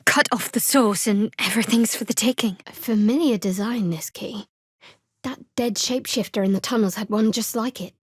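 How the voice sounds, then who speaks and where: A woman's voice: mid-range voice, normal speed, breathy edge, dry recording, close to an everyday voice. A woman playing a guarded, sardonic half-elf cleric in a fantasy video game, with a dry delivery. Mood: composed, observant.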